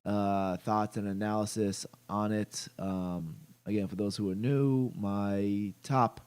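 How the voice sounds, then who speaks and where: A young man hosting a casual livestream about gaming, stuffy from allergies, talking haltingly with many fillers and restarts. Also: on the low side, fairly even intonation, a little mellow, clear, resonant tone. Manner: greets chat viewers by name. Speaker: gaming channel host.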